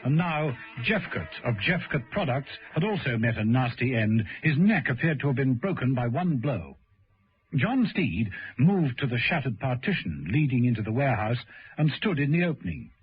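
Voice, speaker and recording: A man's voice: medium pitch, big pitch swings, moderate pace, highs cut off, natural voice. A man narrating a suspense radio serial in a dramatic scripted style, on an old radio recording. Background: loud music.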